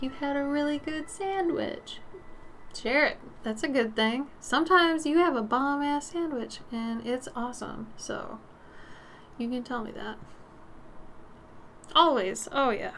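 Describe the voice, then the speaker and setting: A woman's voice: high voice, measured pace, a little hiss or hum. A woman in her thirties livestreaming while she paints, chatting loosely and frankly with her viewers as she answers their messages. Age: 30s. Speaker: streamer.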